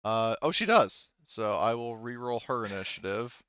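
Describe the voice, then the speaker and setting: A man running a tabletop roleplaying game as game master, talking casually and haltingly as he thinks aloud through the rules. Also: medium pitch, expressive, fairly bright tone, smooth, no room sound, dull audio.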